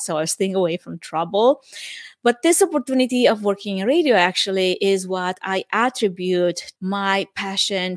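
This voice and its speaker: A woman's voice: on the low side, dry recording, clean studio sound. A woman in her forties from Romania with a Romanian accent, hosting her own podcast and talking upbeat with frequent light laughs. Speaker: podcast host. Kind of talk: solo podcast monologue.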